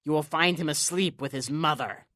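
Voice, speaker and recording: A sly, scrawny little man played by a woman needles and coaxes in an audio drama. The voice is low for a woman, with a sharp, bright edge. It is recorded close and dry, and leans into dramatic pauses. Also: no room sound. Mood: urgent, persuasive. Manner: insinuating, coaxing, dramatic pauses. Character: sly small thin male stranger, needling tempter.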